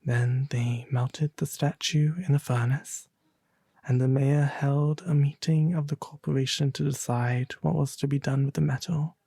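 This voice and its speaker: A man playing a melancholy, genteel owl demon prince, reading a storybook aloud in a soft, refined, breathy ASMR voice. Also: mid-range voice, fairly even intonation, unhurried, no echo. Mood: gentle, sombre. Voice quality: breathy, soft, often half-whispered. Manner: refined, gentle, formal diction.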